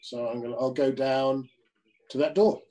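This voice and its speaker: A man's voice: medium pitch, fairly even intonation, normal speed, dark tone. A man playing a tabletop game, talking hesitantly as he thinks aloud.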